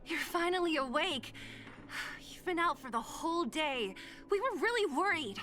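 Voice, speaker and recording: A woman's voice: extremely high pitch, very animated, normal speed, pushed and bright, soft background music, exaggerated voice. An actress playing a bossy but caring young female fight referee in a video game, emphatic and commanding. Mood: relieved, teasing. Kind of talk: video game character acting.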